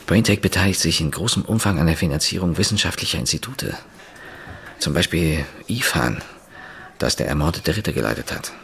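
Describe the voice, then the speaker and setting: Deep gravelly reporter type in a radio play, urgent, voice swinging up and down. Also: male voice, animated, a little fast, fairly bright tone, heavily acted. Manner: urgent, confiding. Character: tense male journalist with a secret. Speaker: journalist character.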